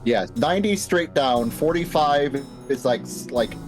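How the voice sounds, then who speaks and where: Man, player, excitable, rambling, lively pitch, guitar music behind. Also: measured pace. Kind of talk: casual tabletop play.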